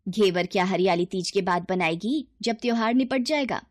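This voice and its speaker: A woman's voice: medium pitch, moderate pace, no room sound, studio quality, close to an everyday voice. A woman as a haughty Indian-storybook mother-in-law. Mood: scornful, sarcastic. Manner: sarcastic, scolding. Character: haughty, scornful middle-aged mother-in-law, later remorseful.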